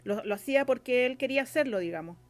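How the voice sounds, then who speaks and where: A Chilean woman with a fairly high voice talks as an interview guest. She is chatty and rambling, dropping in filler phrases as she explains things in a casual, conversational way. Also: lively intonation, fast talker. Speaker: interview guest, radio drama scriptwriter.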